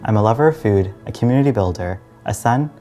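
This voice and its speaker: A man's voice: lowish voice, animated, fast talker, music in the background. A young man from Trinidad and Guyana telling his personal story in a reflective, earnest way, as in a documentary interview. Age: young adult.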